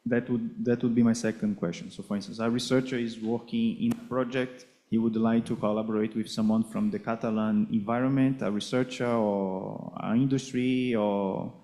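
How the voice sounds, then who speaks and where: A man moderating a conference question session, speaking in a measured, polite way and laying out his questions step by step. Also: fairly low pitch, fairly even intonation, warm tone. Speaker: project coordinator and Q&A moderator. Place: conference hall.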